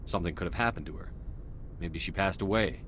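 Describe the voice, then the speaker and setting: A man's voice: brisk, fairly bright tone. A man playing a direct, confident military commander in a video game, with a blunt, plain way of talking. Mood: inquiring. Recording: rumbling engine noise behind.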